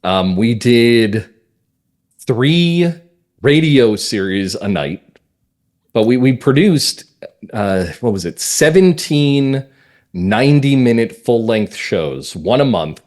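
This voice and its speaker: A man's voice: medium pitch, sing-song, takes it slowly, a little mellow. A man chatting casually as an interview guest, telling anecdotes about his creative work with enthusiasm. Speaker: podcast guest, audio drama writer and producer.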